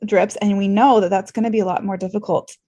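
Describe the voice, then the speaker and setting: A woman's voice: medium pitch, fairly even intonation, fast talker, dark tone. An American woman hosting a conversational medical interview, speaking in an informal and earnest way. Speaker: podcast host and ICU clinician.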